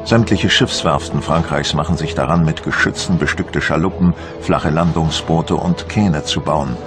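A man's voice: a bass voice, normal speed. An actor playing a Frenchman of Napoleon's time, narrating a scripted historical documentary. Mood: measured, earnest. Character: Frenchman of Napoleon's time recounting invasion preparations. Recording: music behind the voice.

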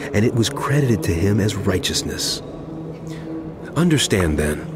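Impassioned preaching apostle, lowish pitch, emphatic, rhetorical, dramatized audio scripture, music underneath. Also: male voice. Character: impassioned male apostle preaching to his wayward flock. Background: background music.

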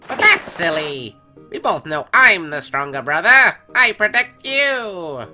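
A man's voice: high voice, big pitch swings, takes it slowly, music in the background, exaggerated voice. A man voicing an earnest, devoted younger skeleton brother in a comic dub, with dramatic, formal delivery. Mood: indignant. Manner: dramatic, emphatic, formal wording. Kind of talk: animated comic dub acting.

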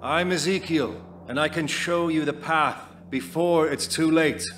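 An actor playing a grave, oratorical doomsday cult leader in an audio drama monologue. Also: male voice, on the high side, steady tone, measured pace, fairly bright tone, a little hiss or hum. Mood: prophetic, urging. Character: solemn doomsday cult leader preaching end times.

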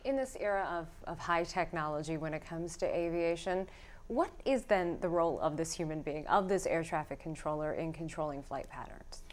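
A woman in her thirties with a distinctly low voice works as a television interview host. Her delivery is polished broadcast style, recorded on a studio mic. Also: normal speed. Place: indoor TV studio.

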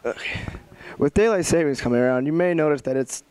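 A man's voice: a little high, animated, fast talker, a little mellow, clear, resonant tone, no room sound. A teenage male student presenter giving scripted newscast announcements in a skit. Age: teens. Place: indoor school lounge.

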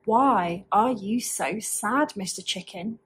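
A woman's voice: mid-range voice, takes it slowly, slightly rough. A woman playing a kindly donkey who leads his animal friends in a storybook tale. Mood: concerned. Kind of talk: storybook character reading.

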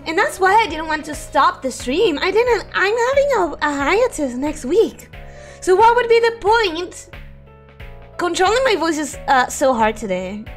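A young woman streaming casually, her voice hoarse and ragged from sickness, giggling often as she reads chat and greets viewers. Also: extremely high pitch, sing-song, measured pace, soft background music.

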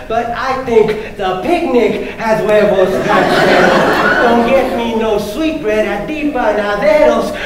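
A middle-aged man performing rhymed spoken-word poetry live, playfully declaiming and mixing in Spanish words. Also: extremely high pitch. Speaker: performance poet. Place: large meeting hall with audience. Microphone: stand microphone on stage. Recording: echoey hall, far mic, audience noise.

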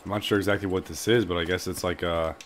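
A young man with a deep voice giving casual, excitable gameplay commentary from his bedroom, swearing a lot and calling everyone dude. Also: fast, a little hiss or hum. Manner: excitable, swears constantly, says "dude" and "bruh". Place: small bedroom.

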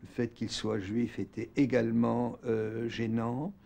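A man's voice: mid-range voice, flat delivery, a little slow, vocal fry, warm tone. An older man giving an explanatory interview answer indoors, interrupting himself as he goes. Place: small plain room indoors.

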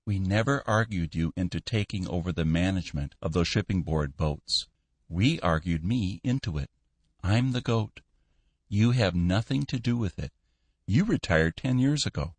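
A man's voice: sits low, expressive, moderate pace, slightly rough, no echo, pitched below the performer's own voice, heavily acted. A man voicing an assertive younger businessman in an audiobook, blunt, defensive and a little jeering. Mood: accusing. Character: assertive younger businessman, accusing son-in-law and boss.

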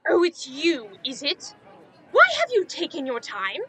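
A woman's voice: high-pitched, big pitch swings, unhurried, sharp tone, dry recording, full character voice. A woman playing a harsh, greedy, irritable innkeeper in a radio drama, bullying and calculating. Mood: scolding. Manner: scolding, fawning toward paying guests.

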